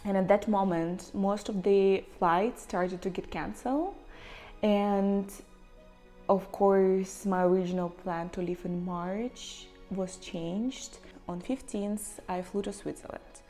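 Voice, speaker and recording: A young woman with a Ukrainian accent, telling a personal story to camera in a halting, emotional way, close to tears. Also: medium pitch, dark tone, faint music behind. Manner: emotional, halting, near tears, with many pauses and fillers. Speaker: vlogger.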